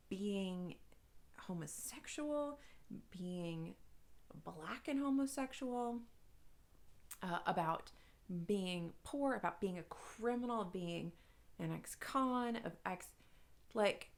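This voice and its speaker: A woman talking casually and emphatically to viewers as a book vlogger, in an informal, rambling, self-deprecating way. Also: mid-range voice. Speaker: book review vlogger and podcast co-host. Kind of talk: casual chatty video monologue to viewers.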